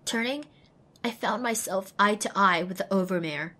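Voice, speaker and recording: A woman's voice: medium pitch, moderate pace, noisy background, close to an everyday voice. A woman playing a small, anxious outcast pony mare who tells her own story expressively and introspectively in an audio drama. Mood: tense recollection. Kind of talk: first-person dramatic audiobook narration.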